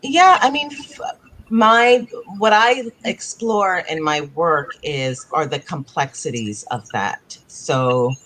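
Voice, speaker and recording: A woman's voice: sits low, slow pace, a bit bright, rough recording. A woman playwright born in Africa and raised in the United States, speaking on a video-call panel in a thoughtful, searching way, often circling back to rephrase.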